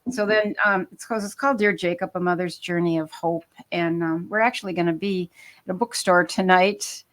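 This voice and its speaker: An older woman from Minnesota speaking as a guest author, earnest and halting, often restarting her sentences. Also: fairly low pitch, fairly even intonation, a bit bright. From Minnesota, United States. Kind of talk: interview talk.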